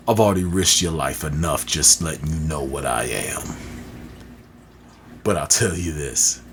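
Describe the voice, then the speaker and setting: A man's voice: deep voice, slight background noise, exaggerated voice. A man voicing a crude, boastful enforcer who introduces his story in a swaggering, informal way.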